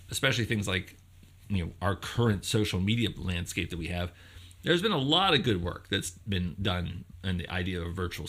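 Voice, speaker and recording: A man's voice: fairly low pitch, a bit bright. A man giving an informal explanatory lecture as a professor, conversational and thinking aloud, posing rhetorical questions as he goes.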